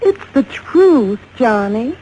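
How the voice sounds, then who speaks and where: A woman's voice: on the high side, sing-song, takes it slowly, mellow. A woman playing a pleading, evasive American suspect in an old radio crime drama, earnest and insistent. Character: pleading, evasive young woman under suspicion. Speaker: radio drama supporting actress. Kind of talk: mid-century American radio drama acting. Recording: dull, noisy vintage radio recording.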